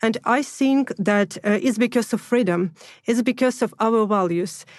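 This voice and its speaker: A Ukrainian woman, a human rights lawyer, speaking English with a Ukrainian accent in a measured, deliberate interview answer. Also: on the high side, darkish tone, no echo, studio quality. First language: Russian. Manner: measured, deliberate, restarts words while building points.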